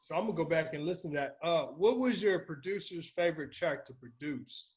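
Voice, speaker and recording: Man, slightly high pitch, podcast host, casual interview chat, slangy, profane, muffled lo-fi recording. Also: lively intonation, a little fast.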